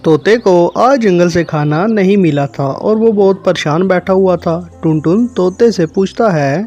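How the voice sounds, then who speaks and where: A man's voice: high voice, unhurried, a little mellow, highs cut off, soft background music. A man reading scripted narration for a children's cartoon story.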